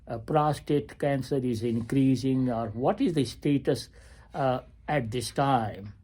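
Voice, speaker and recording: Slow, halting man in his eighties. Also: mid-range voice, mellow. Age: 80s. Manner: slow, halting, with many pauses and fillers. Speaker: retired family physician. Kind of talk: personal video diary. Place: hospital waiting area.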